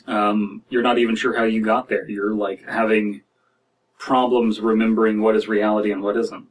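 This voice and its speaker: A man in his thirties reading and reacting to stories as a podcast host, in a halting, wry, casual way. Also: lowish voice, flat delivery, distant mic, poor audio. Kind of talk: casual commentary and story reading. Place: small dim room indoors.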